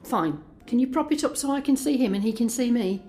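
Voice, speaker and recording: A woman's voice: on the high side, animated, a little fast, warm tone, natural voice. A woman playing an anxious but determined young escort in an intimate, conversational audio drama monologue. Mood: tender, nervous. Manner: confiding, conversational.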